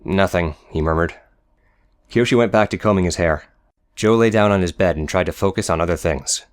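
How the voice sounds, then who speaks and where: Male narrator, low register, scripted prose storytelling for an audio book. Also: a little fast. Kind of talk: scripted narration with character dialogue.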